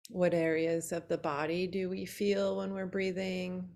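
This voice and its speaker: A woman teaching yoga nidra, guiding a relaxation meditation in a soothing, unhurried way with long pauses between short phrases. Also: on the low side, level tone, gravelly, darkish tone.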